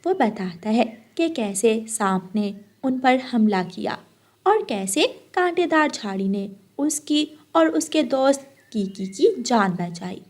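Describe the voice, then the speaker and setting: A woman's voice: a little high, sing-song, slow. A woman narrating a scripted children's cartoon story.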